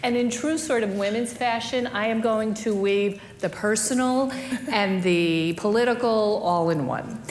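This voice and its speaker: A woman interviewing a guest on stage at a panel event, with a chatty, lively manner and easy asides. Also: mid-range voice, measured pace.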